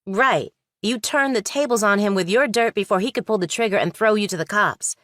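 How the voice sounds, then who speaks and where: A woman acts a shrewd young female law student in audiobook thriller dialogue. She is direct and probing, with a medium-pitched voice in a dry recording. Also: a little fast, fairly bright tone, dry recording, close to an everyday voice. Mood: analytical, challenging. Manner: direct, questioning, analytical.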